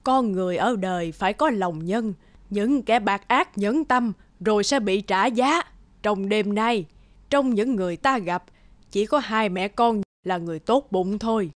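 A frail old beggar woman, acted by a woman in a cartoon folk tale. She speaks slowly and humbly, with halting pauses and a pleading manner. The sound is dry and close. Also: medium pitch, dry recording, natural voice. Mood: solemn. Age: older. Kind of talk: animated folk-tale acting.

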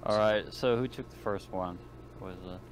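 Deep-voiced man in casual game chat. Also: moderate pace. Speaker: player in a tabletop game. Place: online voice call. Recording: clearly noisy voice-chat recording.

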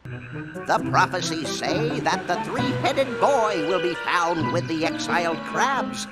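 A solemn old crab sage for a game cutscene. He pronounces prophecies slowly and with ceremony, his pitch swinging widely. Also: very animated, loud music behind the voice, full character voice. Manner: slow, ceremonious, portentous. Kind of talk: video game cutscene acting.

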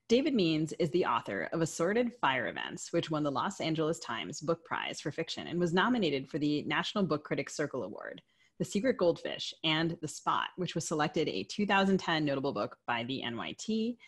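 A woman hosts a podcast in a low-pitched, husky voice. Her intonation is very lively and varied. She mixes conversational remarks with scripted introductions. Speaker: podcast co-host.

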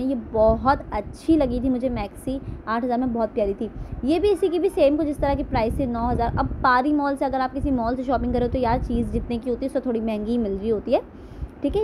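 A woman's voice: fairly high pitch, brisk, darkish tone, a little hiss or hum, poor audio. A young adult woman with a Pakistani accent, narrating a shopping vlog in a casual, chatty way, talking straight to her viewers. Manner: chatty, addresses viewers directly. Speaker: shopping vlogger.

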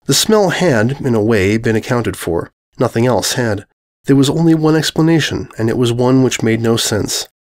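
A man narrates a scripted story dramatically. His pitch is middling and moves about in a lively way. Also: normal speed. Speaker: fan fiction narrator.

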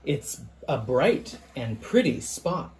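A teacher reading a children's picture book aloud in a gentle, deliberate, expressive sing-song way. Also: medium pitch, slight background noise.

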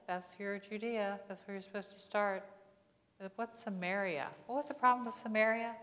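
A middle-aged woman pastor preaching in a church on a lapel mic, conversational, with frequent rhetorical questions. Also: fairly low pitch. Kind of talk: sermon. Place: church sanctuary. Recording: long church reverb.

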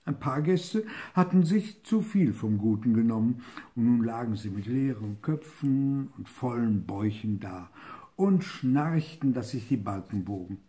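An older man reading a fairy tale aloud expressively and deliberately, with dramatic pauses, as a storyteller. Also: mid-range voice, lively intonation, warm tone. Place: book-lined living room, seated in an armchair.

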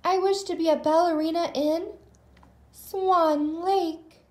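A woman's voice: extremely high pitch, steady tone, slow, a little mellow, reverberant, pitched above the performer's own voice. A woman voicing a cheerful, hopeful young girl in a storybook, earnest and wishful.

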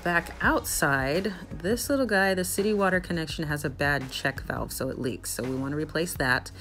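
A young woman narrating her renovation vlog in a casual, bubbly and excited way. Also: low-pitched, normal speed, soft background music. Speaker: vlogger.

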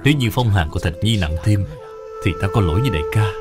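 A man's voice: fairly low pitch, lively intonation, fast, over loud music, lightly acted. A man voicing a devoted, anxious official and father in a dubbed cartoon, speaking with earnest concern. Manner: earnest, solicitous.

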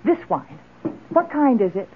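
High-voiced, gushing wealthy lady. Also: big pitch swings, a little slow, a little hiss or hum. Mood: merry, tipsy. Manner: theatrical, gushing, exclamatory. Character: wealthy, generous, effusive lady of the house. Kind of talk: old-time radio drama acting. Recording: muffled lo-fi radio drama recording.

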